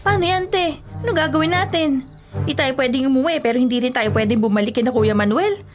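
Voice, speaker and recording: Woman playing a high-voiced, exasperated younger Filipina sister. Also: fairly quick, over music, natural voice. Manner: sassy, scoffing, conversational. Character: exasperated, teasing younger sister, skeptical of old superstitions. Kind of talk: Filipino radio drama acting.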